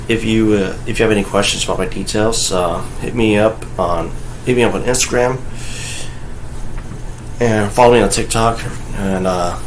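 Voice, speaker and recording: A man raised in North Carolina, recording a casual home vlog, rambling with many halting restarts and blunt, profane remarks. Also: on the low side, a little hiss or hum, poor audio. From North Carolina, USA (raised there, born in Okinawa, Japan). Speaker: vlogger. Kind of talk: casual ranting monologue.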